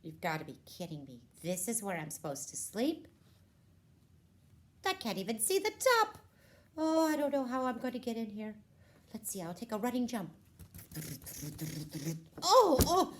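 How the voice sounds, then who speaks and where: Woman as a dramatic high-voiced puppet princess. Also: big pitch swings, moderate pace, pauses often. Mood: exasperated. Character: young princess puppet, dramatic, fussy, frank. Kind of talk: children's puppet show.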